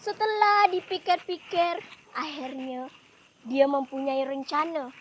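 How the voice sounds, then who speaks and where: High-voiced teenage girl storyteller, West Kalimantan accent. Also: unhurried, slight background noise. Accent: West Kalimantan Malay-influenced Indonesian. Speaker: student storyteller. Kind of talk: dramatic folktale storytelling.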